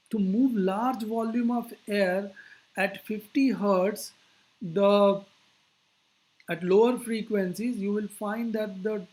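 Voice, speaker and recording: A physics teacher giving an explanatory lecture, phrasing things deliberately and posing rhetorical questions. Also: mid-range voice, darkish tone, poor audio.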